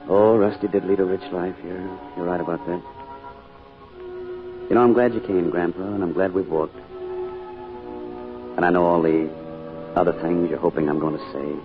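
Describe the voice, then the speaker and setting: Very deep-voiced subdued middle-aged father, acted. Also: fairly even intonation, normal speed, long pauses, close to an everyday voice. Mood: grieving. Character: grieving father and small-town shopkeeper, subdued, kindly. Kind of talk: old-time radio drama acting. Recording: muffled old radio recording, music behind.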